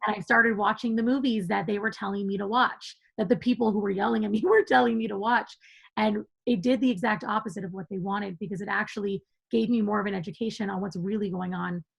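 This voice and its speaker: A woman's voice: medium pitch, steady tone, fast talker, gravelly, slightly rough, low-quality recording. A woman guest on a podcast, speaking with passion and emphasis in a conversational interview, laughing now and then.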